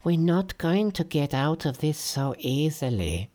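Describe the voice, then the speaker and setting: Worried monk companion, as a man puts him on, whispering slowly with a lively rise and fall in pitch. He sounds anxious and eager to slip away.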